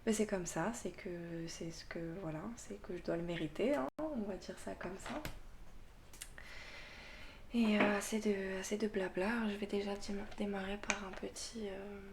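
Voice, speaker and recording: A woman hosting her own tarot channel, talking unscripted in a slow, hesitant way, full of fillers and restarts, often dropping to a soft, half-whispered voice and chuckling at herself. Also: mid-range voice, noisy background. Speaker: tarot reader and channel host. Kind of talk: unscripted casual vlog monologue.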